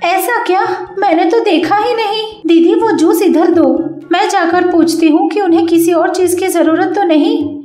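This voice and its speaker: A woman's voice: very high voice, moderate pace, dark tone, soft background music, voice pushed up. A woman voicing a sly young daughter-in-law who talks in a fake-sweet, over-polite way. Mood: sugary. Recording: echoey room.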